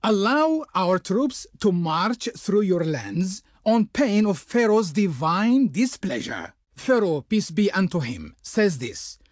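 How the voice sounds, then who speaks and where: An actor playing a haughty, pompous envoy of an ancient Pharaoh in a video game, speaking in ornate, declamatory style. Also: male voice, high voice, sing-song, slow, few pauses, no room sound, clean studio sound, exaggerated voice. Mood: imperious. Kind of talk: theatrical video game voice acting.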